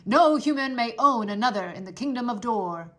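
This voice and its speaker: A woman's voice: fairly high pitch, expressive, moderate pace. A woman doing a stiff, dutiful soldier. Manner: stiff, polite, shouts to be heard. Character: formal dutiful male soldier of the king.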